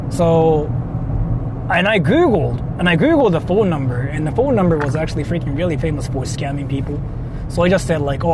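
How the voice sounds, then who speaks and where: A young Japanese man with a Japanese accent, live-streaming casually from city streets, excitable and swearing freely as he talks to his chat. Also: fairly high pitch, noisy background.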